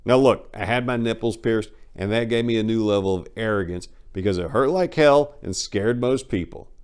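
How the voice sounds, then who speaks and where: A man's voice: lowish voice, normal speed, darkish tone, clear, resonant tone. A man giving a dramatic first-person reading as a book narrator, telling stories in a brash, irreverent, slangy way.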